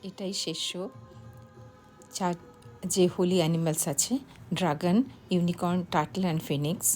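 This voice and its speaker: A woman narrating a travel vlog in voice-over, speaking in short, unhurried phrases. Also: on the low side, fairly even intonation, darkish tone. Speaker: travel vlogger. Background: music.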